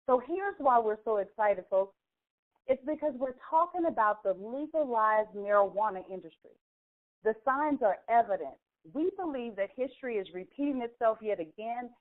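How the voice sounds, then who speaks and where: A woman hosting a conference call, delivering a persuasive, scripted sales pitch with storytelling, emphatic phrasing and rhetorical questions. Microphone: telephone. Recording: thin narrow phone conference line.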